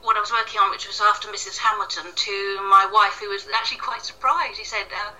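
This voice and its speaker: A woman's voice: mid-range voice, fairly even intonation, fast talker, sharp tone. A woman from Wales giving casual, self-deprecating interview answers as an author from her echoey kitchen. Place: home kitchen, indoors. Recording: echoey kitchen, distant tinny call sound.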